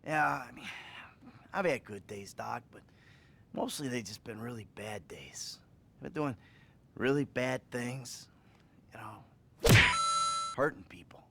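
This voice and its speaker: An actor playing a troubled, self-loathing middle-aged American criminal confessing in halting, sweary talk in a game cutscene. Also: male voice, mid-range voice, animated, gravelly, background noise. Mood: remorseful, confessional. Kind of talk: video game cutscene acting.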